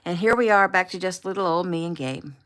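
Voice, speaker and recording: A woman's voice: lowish voice, a little fast, fairly bright tone, natural voice. A woman voicing a bubbly young woman who gushes while filming a live social media video. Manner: gushing, upbeat, chatty.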